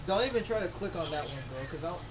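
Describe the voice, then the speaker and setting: A man's voice: fairly high pitch, animated, fast talker. Rambling guy on a noisy chat mic. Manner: rambling, laughs often, self-conscious. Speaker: channel owner. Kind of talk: casual chat reviewing his old videos.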